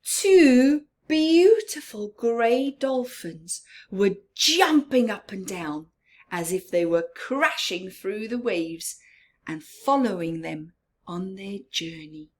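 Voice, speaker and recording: Slow-paced woman reading a children's story. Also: mid-range voice. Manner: dramatic emphasis, drawn-out words, hushed whispered asides. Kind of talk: expressive children's read-aloud with chatty asides.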